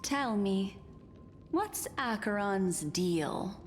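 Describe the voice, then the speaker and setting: An actress voicing a poised, mysterious keeper of memories in a video game, composed and deliberate. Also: female voice, medium pitch, animated. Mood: composed, probing. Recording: long reverb over background music.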